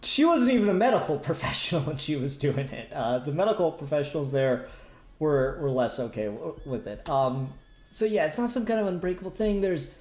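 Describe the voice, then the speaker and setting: A man hosting a casual review discussion, speaking haltingly and searching for words as he works through his points. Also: a little high, expressive, darkish tone. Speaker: podcast host discussing comics. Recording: roomy echo, faint music behind.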